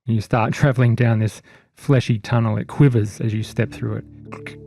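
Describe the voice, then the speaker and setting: Mellow male horror game master. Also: mid-range voice, fairly even intonation, moderate pace, creaky. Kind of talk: narrating a tabletop horror role-playing game. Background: faint music.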